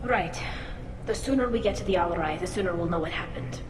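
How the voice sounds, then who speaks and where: A woman's voice: on the low side, fast talker, natural voice. A woman playing a young alien engineer in a video game, earnest and anxious about her father. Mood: worried, resolute. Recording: roomy echo, game music underneath.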